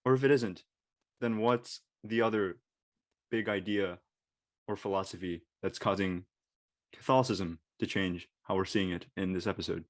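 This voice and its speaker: A man's voice: low-pitched, steady tone, normal speed, no room sound. A man moderating a casual discussion, informal and tentative, feeling his way through his phrasing.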